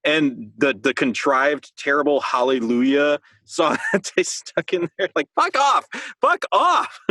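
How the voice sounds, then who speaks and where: Blunt podcast guy, sharp bright voice a bit on the high side, casual chat. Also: expressive, slow, no echo. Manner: blunt, opinionated. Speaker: podcast co-host.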